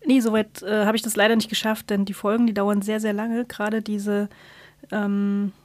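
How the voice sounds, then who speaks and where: Female podcast co-host: mid-range voice, conversational, halting explainer, recorded dry. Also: medium pitch, flat delivery, darkish tone, no echo. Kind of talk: casual podcast chat.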